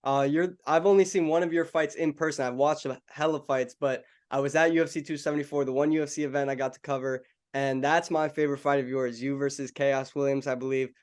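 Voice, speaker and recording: A man's voice: mid-range voice, steady tone, fast, hardly any pauses, darkish tone, clear, resonant tone. A young adult man hosting an interview, friendly and casual, calling his guest "bro" and "man".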